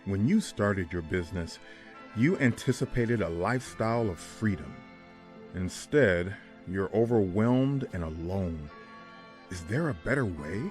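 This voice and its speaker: A man plays an earnest ad announcer with a lowish voice. He reads a scripted business commercial in a quiet, measured announcer style. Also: expressive, faint music behind. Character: soft-spoken announcer for business coaching ad.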